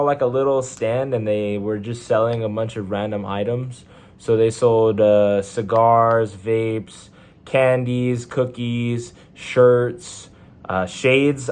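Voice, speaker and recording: A young man with a Texan twang, speaking in a somewhat low voice. He talks in a loose, rambling vlog style, full of slang and fillers. He is recording in a hotel room. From Texas, USA. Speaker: vlogger, Navy sailor. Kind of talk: casual vlog monologue.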